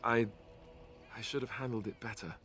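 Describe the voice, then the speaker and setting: A man's voice: mid-range voice, fairly even intonation, moderate pace, fairly bright tone, close to an everyday voice. An actor voicing a young male warrior in a fantasy video game, earnest and self-doubting as he grieves his mentor. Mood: subdued.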